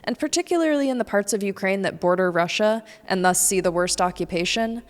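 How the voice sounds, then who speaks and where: A woman's voice: mid-range voice, a little fast. A young woman from the United States delivers a scripted weekly news rundown in a mid-range voice, with dry, pointed asides. Her voice carries a long reverb tail. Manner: pointed, sardonic commentary. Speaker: news presenter.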